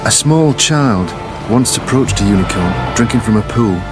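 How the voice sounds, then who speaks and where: An actor playing a patient psychologist who draws out buried memories, speaking slowly and calmly in a coaxing, hypnotic way. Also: male voice, fairly low pitch, lightly acted. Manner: calm, slow, coaxing, hypnotic questioning. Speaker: memory recall specialist. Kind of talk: radio drama acting. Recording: music playing under the voice.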